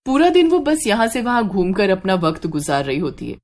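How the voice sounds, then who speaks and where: A woman's voice: medium pitch, very animated, a little fast, darkish tone. Woman narrating a scripted horror story.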